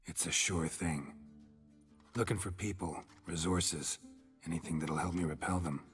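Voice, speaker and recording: An actor playing a stoic, world-weary monster hunter in a game, gravelly, hushed and deadpan. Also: male voice, low voice, a little slow, full character voice. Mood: reluctant, grave. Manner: terse, deadpan, clipped. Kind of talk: understated video game dialogue. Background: background music.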